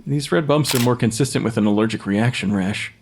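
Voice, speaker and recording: A man's voice: mid-range voice, brisk, a little hiss or hum, close to an everyday voice. An actor playing a blunt young male pathologist in an audio drama, speaking in a matter-of-fact, clinical way. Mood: clinical, detached. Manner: clinical, matter-of-fact, dictating notes formally. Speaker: actor in fiction podcast.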